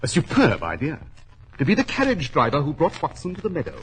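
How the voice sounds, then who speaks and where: An actor playing a self-assured gentleman detective in a 1940s radio drama, clipped, precise and authoritative. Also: fairly high pitch, huge pitch swings, a little fast, a little hiss or hum, low-quality recording. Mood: confident, revealing.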